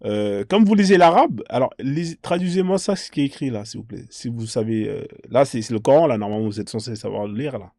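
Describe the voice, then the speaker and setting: A man's voice: mid-range voice, expressive, fast, hardly any pauses, mellow, no echo, clean studio sound. A man hosting a live online debate, polite but insistent, repeating his requests to callers.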